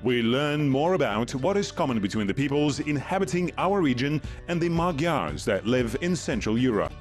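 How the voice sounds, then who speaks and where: Male documentary VO, measured read, animated pitch, faint music bed. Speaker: English voice-over narrator. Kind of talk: scripted documentary voice-over. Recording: music playing under the voice.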